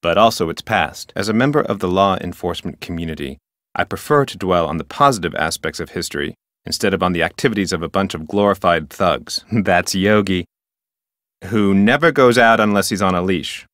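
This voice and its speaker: A man's voice: deep voice, a little fast, fairly bright tone, no room sound, clean studio sound. A man playing an officious, rule-bound park ranger in an adventure game, with a formal, wordy manner. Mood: officious, curt. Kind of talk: scripted adventure game dialogue.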